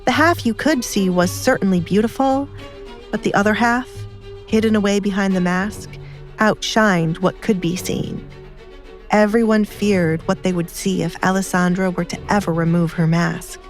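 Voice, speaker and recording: A woman's voice: mid-range voice, fairly even intonation, normal speed. A woman reading scripted story narration as an audiobook narrator. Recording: music behind the voice.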